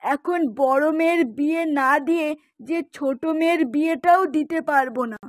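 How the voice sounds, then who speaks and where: A woman's voice: high-pitched, fairly even intonation, moderate pace, dry recording, studio quality, natural voice. A woman voicing an exasperated middle-aged mother in a cartoon, complaining and scolding with emphasis. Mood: concerned. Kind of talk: Bengali cartoon voice acting. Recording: music behind the voice.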